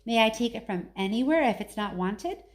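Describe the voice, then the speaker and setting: Woman voicing Mary, an eager, high-pitched little girl. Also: fairly quick, lightly acted. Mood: earnest. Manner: excitable, earnest, plaintive.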